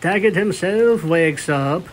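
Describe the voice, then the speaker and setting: A man's voice: high voice, animated, takes it slowly, slight background noise. A man narrating a scripted story as a fan fiction reader.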